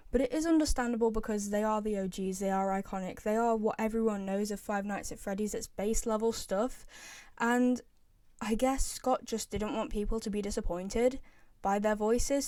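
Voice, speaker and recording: A young woman talks through her opinions as a casual video commentator. Her voice is fairly high yet mellow, in a dry recording. She is informal and emphatic, often repeating a phrase to drive it home. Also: no echo. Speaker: video creator ranking game voice lines.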